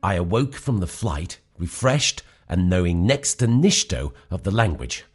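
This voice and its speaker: A man's voice: sits low, sing-song, a little slow, breathy edge, exaggerated voice. A man playing a bumbling, deferential British secret agent in a comic spy radio play, in an earnest, mock-serious way. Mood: mock-serious narrating. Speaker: narrator and lead actor.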